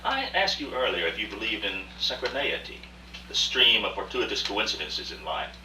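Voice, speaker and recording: A man's voice: lowish voice, big pitch swings, moderate pace. A man acting a cultured, proud businessman, telling his tale articulately and emphatically in a live radio drama. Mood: reminiscing. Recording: roomy far-off rehearsal recording, faint hiss.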